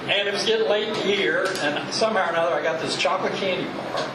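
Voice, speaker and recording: A man informally telling an amused, animated anecdote as a former pupil. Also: sits high, fast. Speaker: former pupil sharing a memory. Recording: very echoey, noisy, distant microphone.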